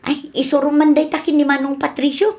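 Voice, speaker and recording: Radio drama role: nosy, chatty villager, slightly high female voice played by a woman. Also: little pitch movement, fairly quick, a little mellow. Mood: confiding. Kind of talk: Ilocano radio drama acting.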